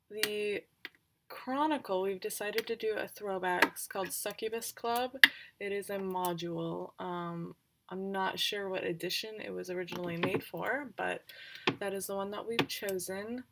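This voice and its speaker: A woman's voice: mid-range voice, creaky, heavy background noise. A woman giving a casual, unscripted recap as a tabletop roleplaying player, informal and a little hesitant, correcting herself as she goes.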